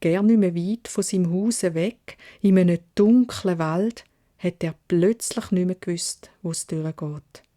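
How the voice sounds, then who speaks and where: Very mellow Swiss German woman storyteller. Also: on the low side, a little slow. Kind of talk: children's fairytale narration in dialect.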